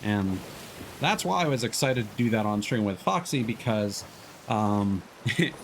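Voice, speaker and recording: A man's voice: fairly low pitch, expressive, a little slow. A man streaming a game, explaining steps to a co-player with many fillers. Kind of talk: casual game chat. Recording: noisy stream audio.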